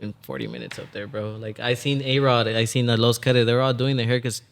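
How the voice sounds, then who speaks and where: A man's voice: mid-range voice, flat delivery, a little fast. A man of about twenty chatting casually as a podcast guest in slangy, conversational speech. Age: 20s. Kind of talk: casual chat.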